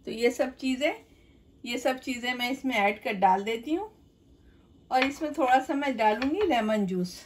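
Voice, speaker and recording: A middle-aged woman walks viewers through a home recipe at her kitchen counter, chatty and explaining each step as she works. Her voice sits a little high for a woman. She keeps turning to the viewer as she goes. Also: lively intonation, normal speed, slight background noise. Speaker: cooking channel host. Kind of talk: home cooking demonstration.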